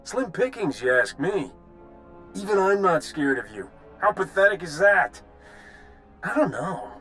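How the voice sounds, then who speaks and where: A man's voice: high voice, very animated, unhurried, fairly bright tone, soft background music, exaggerated voice. An actor playing a wry, blunt male spy who is easily exasperated, in a video game cutscene. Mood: mocking. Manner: blunt, sardonic.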